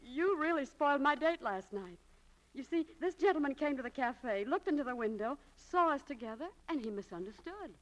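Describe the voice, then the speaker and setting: A high-strung young American shopgirl in a 1940s radio play. Her voice sits fairly high, and she speaks with a lofty, dramatic air. She comes across as proud and romantic. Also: expressive, moderate pace, rough recording. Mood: elated.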